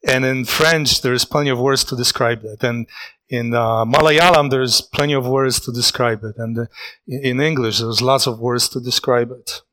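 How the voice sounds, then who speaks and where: Earnest preacher. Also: male voice, mid-range voice, fairly even intonation, pushed and bright. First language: Polish. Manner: earnest, reflective, halting with restarts. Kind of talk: sermon.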